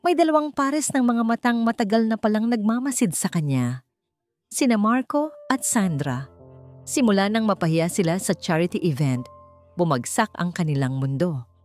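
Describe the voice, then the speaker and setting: A woman's voice: medium pitch, darkish tone, no room sound. A woman reading a scripted romance story as a dramatic narrator. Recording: music under the voice. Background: background music.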